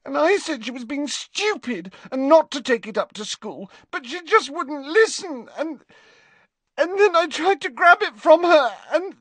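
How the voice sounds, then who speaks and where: A woman's voice: sits high, expressive, a little slow, no room sound, pitched above the performer's own voice. A man reading an audiobook voices a distraught teenage schoolgirl who is crying and panicked. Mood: sobbing, panicked.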